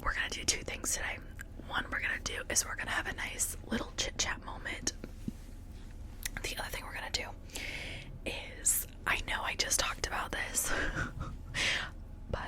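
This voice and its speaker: A woman's voice: moderate pace, pauses often. Whispering woman, casual ASMR ramble. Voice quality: breathy unvoiced whisper. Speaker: ASMR video host.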